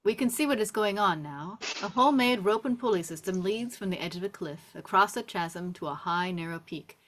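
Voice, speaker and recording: A woman's voice: medium pitch, a little fast, a bit bright. A woman narrator reading scene descriptions and stage directions aloud at a script table read.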